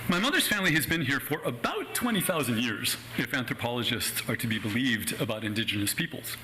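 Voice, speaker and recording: A middle-aged American man from Los Angeles, a native Spanish speaker, giving a prepared personal talk as a guest speaker in an articulate, measured way with wry asides. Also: medium pitch, very animated, noisy background. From Los Angeles County, United States.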